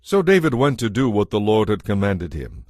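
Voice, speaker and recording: A man narrating scripture from a script in a measured, solemn manner. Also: fairly low pitch, huge pitch swings, no room sound, clean studio sound.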